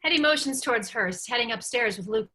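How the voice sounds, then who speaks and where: A woman's voice: a little high, animated, quick pace, sharp tone. A woman narrator reading stage directions at a script table read.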